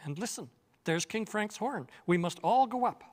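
Gentle storybook faun, earnest, near the reader's own voice, older man doing it, in a reverberant room. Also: sits high, lively intonation, moderate pace, pitched above the performer's own voice. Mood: eager. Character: gentle kindly male faun, wise and warm.

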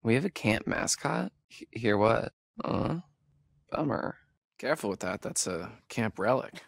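An actor playing a sarcastic young American camp counselor in a horror video game, hesitant and conversational in delivery. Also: male voice, lowish voice, lively intonation, measured pace, creaky, dry recording, studio quality, heavily acted. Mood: wry. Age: young adult. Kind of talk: naturalistic horror game dialogue.

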